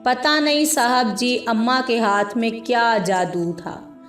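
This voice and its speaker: A woman's voice: a little high, echoey room. A woman narrating a scripted story in a dramatic storytelling style.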